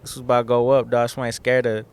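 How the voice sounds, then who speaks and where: A man's voice: mid-range voice, level tone, rapid-fire, smooth. A young man from the San Fernando Valley, a guest musician in a casual interview, talking in a laid-back, slangy way full of "like" and "dog". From San Fernando Valley, California. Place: outdoors in front of a theater.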